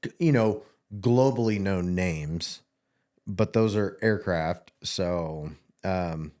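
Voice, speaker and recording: A man's voice: deep voice, slow, darkish tone. A man from Kansas hosting a trades podcast, chatting in a loose, rambling way with jokey asides. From Kansas, USA. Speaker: podcast host. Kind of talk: casual interview chat.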